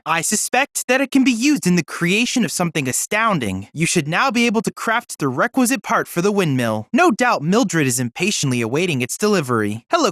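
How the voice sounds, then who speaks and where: A man's voice: sits high, a bit bright, dry recording, studio quality. Polite, lilting young android boy. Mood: businesslike, courteous, then eager, warm. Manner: formal, precise, courteous, earnest diction. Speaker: video game research assistant character. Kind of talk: scripted video game character dialogue.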